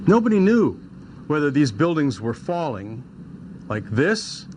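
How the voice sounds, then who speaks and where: A man's voice: on the high side, very animated, measured pace, darkish tone, slight background noise. A man who works in radio, recounting his memories in an interview. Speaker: radio staffer. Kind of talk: recollection in an interview.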